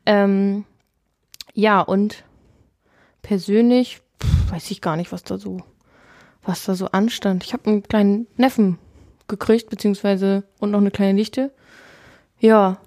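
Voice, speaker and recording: Brief: young woman, mid-pitch, dry close mic, chatty with fillers. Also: dark tone, no echo. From Friesland, northern Germany. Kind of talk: casual chat.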